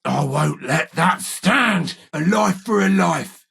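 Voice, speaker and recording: An actor playing a cocky, menacing street-gang gunman in a video game, with a raspy voice, a working-class English accent and a taunting, slangy manner. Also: fairly high pitch, lively intonation, slow pace, bright, forceful tone, exaggerated voice. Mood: angry, fierce. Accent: British, working-class English. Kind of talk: video game character voice lines, combat barks.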